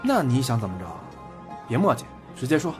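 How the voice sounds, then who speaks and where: A proud, blunt young man voiced by a male actor in an audio drama. He has a mid-range voice with animated intonation and a curt way of talking, over a light music bed. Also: medium pitch, a little fast, close to an everyday voice. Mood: curt, hostile. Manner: curt, scornful retorts. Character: defiant, blunt, proud young man standing his ground. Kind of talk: Chinese audio drama acting. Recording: music behind the voice.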